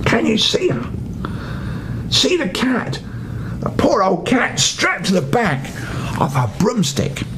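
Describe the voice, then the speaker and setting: An older man reading a children's bedtime story with expressive narration. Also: slow pace, pauses often. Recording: faint rumbling background noise.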